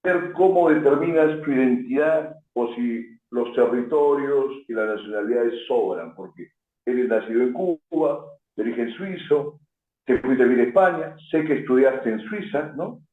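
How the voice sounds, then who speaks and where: A man's voice: medium pitch, normal speed. A man hosting a radio interview, asking long, winding questions. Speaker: host. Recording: tinny phone-like line in an echoey room.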